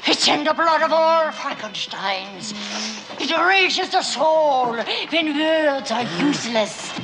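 A grim, lovestruck elderly housekeeper drags out every word in a thick German accent. Her voice sits very high and swoops widely, and the film soundtrack has a clear noise bed under it. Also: animated. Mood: solemn. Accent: put-on German. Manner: slow, heavy, deliberate delivery.

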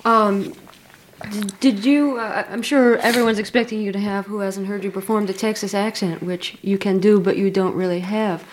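A young woman from Philadelphia hosting an interview, her voice mid-pitched and ordinary. She asks curious questions hesitantly, restarting as she goes. She listens closely to her guest. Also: steady tone, normal speed, slight background noise. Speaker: interviewer host. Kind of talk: talk-show interview.

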